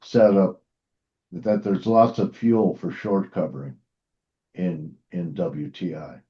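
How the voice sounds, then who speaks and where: A man's voice: low-pitched, steady tone, slow pace, breathy edge, highs cut off. A man hosting a trading interview, casually discussing markets with his guest.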